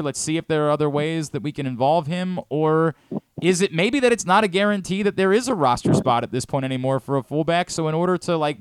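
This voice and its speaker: A man's voice: on the high side, clear, resonant tone, dry recording. An American man hosting a sports radio show, talking casually as he interviews a guest.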